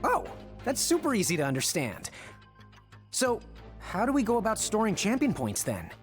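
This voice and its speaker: An actress playing a youthful, goofy and easygoing hero in a fantasy video game, talking casually. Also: female voice, medium pitch, huge pitch swings, normal speed, soft background music. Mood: agreeable, casual. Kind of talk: video game cutscene acting.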